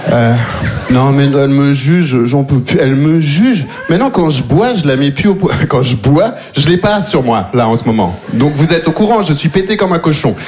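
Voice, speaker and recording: A man's voice: a little high, animated, moderate pace, a little mellow. A man from Belgium, performing a stand-up routine and teasing the audience in a conversational way. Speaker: stand-up comedian. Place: comedy stage before a live audience.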